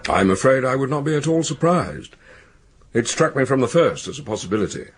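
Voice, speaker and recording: An actor playing a proud, stiff British academic in charge of an institution in a radio drama, speaking formally and self-importantly. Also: male voice, medium pitch, very animated, fairly quick, slight background noise, lightly acted. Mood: grave, pained.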